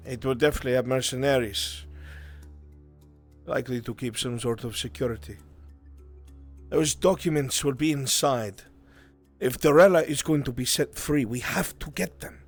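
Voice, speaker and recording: Grave, commanding male conspirator played by a man briefing hired agents in fantasy roleplay; mid-pitched, deliberate, music underneath. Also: close to an everyday voice. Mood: grave, purposeful. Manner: deliberate, commanding, gives instructions. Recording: music behind the voice. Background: background music.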